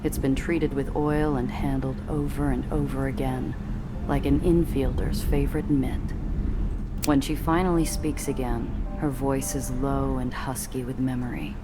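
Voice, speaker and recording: A woman's voice: extremely low pitch, level tone, unhurried. An actress playing a blunt, wry protagonist who narrates her own story in a video game with dry, sardonic delivery. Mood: observant, measured. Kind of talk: first-person scripted game narration. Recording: loud music behind the voice.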